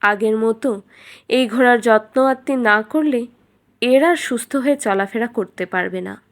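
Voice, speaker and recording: Female narrator as storybook talking bird, fairly high pitch, wise, anxious, urgent, admonishing adviser. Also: unhurried. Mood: disappointed.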